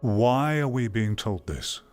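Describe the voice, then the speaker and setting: A man's voice: mid-range voice, expressive, slow, soft background music. A British man giving casual, critical reaction commentary in a blunt, sardonic manner.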